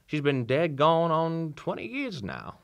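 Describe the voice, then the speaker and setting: An actor playing a kindly old small-town Southern country doctor in a radio play, with a folksy, drawling storyteller's manner. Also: male voice, a little high, sing-song, unhurried, no echo. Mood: folksy storytelling. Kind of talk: old-time radio drama acting. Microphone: shared vintage-style studio microphone.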